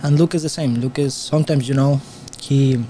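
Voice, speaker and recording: A man from Spain with a Spanish accent, a pool player commenting on a match as a guest in halting English. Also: mid-range voice, steady tone, dark tone, a little hiss or hum. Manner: halting non-native English with restarts. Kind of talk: casual live commentary.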